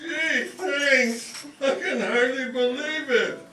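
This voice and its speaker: A man's voice: very high voice, takes it slowly, bright, forceful tone, a little hiss or hum, heavily acted. A man playing a humble, friendly medieval lunch-cart seller in a live amateur stage radio play. He speaks hesitantly and stammers. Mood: amazed. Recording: roomy hall from far off, with music.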